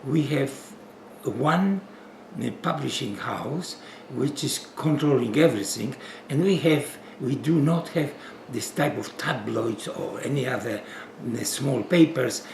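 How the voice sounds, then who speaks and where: An older man from Poland with a Polish accent, a physician guest explaining his concerns in an earnest, halting way with many fillers, recorded in a home living room. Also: medium pitch, creaky, a little mellow, echoey room, a little hiss or hum. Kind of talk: sit-down interview talk.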